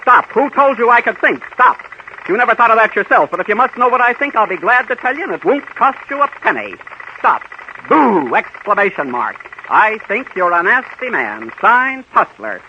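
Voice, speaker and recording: Sarcastic playwright, high lively intonation, dry and defiant, 1930s radio comedy, muffled noisy old recording. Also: male voice, moderate pace, heavily acted. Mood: mocking. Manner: dry, sarcastic telegram delivery. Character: sardonic, defiant playwright, adult man, mocking.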